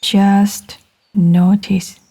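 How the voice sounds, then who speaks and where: A woman guiding a meditation in a gentle, soothing manner, speaking in short phrases with long, unhurried pauses. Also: medium pitch, little pitch movement, breathy edge. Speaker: meditation guide. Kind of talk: guided meditation narration.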